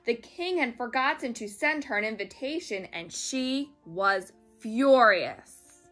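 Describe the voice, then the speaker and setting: A woman storyteller reading a children's story aloud in a dramatic, expressive way. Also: on the high side, slow, faint music behind.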